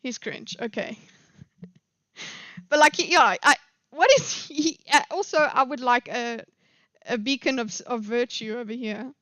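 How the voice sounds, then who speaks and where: A South African woman talks through her live stream commentary in a loose, rambling way, full of fillers and restarts. Her voice sits fairly high and sounds smooth and clear. The recording is dry and slightly dull, like a lo-fi home mic. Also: a bit bright, dry recording. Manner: rambling, many fillers and restarts, quick laughs.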